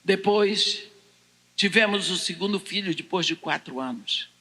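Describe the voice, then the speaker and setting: An older Brazilian woman giving a personal testimony as a preacher, telling it deliberately and dramatically in short phrases. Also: lowish voice, pushed and bright. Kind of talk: personal testimony told to a congregation. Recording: echoing large hall, long reverb.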